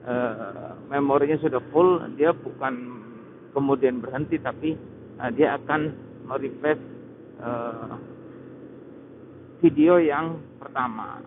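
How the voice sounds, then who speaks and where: An Indonesian man giving a casual, unscripted gear review while riding a motorcycle, halting often as he searches for words. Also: mid-range voice. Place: outdoors on a motorcycle in traffic. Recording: tinny phone-like helmet intercom, loud road noise. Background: loud vehicle and road noise.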